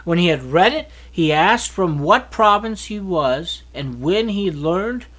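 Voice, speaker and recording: A man reads scripture aloud, his pitch rising and falling in a lively, animated way. The delivery is steady and earnest, with the occasional stumble he corrects on the spot. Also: slow. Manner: steady, earnest reading with small slips and restarts. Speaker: scripture reader. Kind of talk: reading a Bible chapter aloud.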